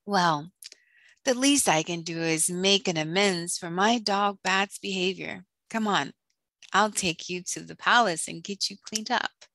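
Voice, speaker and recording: A woman's voice: fairly low pitch, a little slow, fairly bright tone, no echo. A woman voicing a courteous, gallant young prince in a children's storybook reading. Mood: apologetic, helpful.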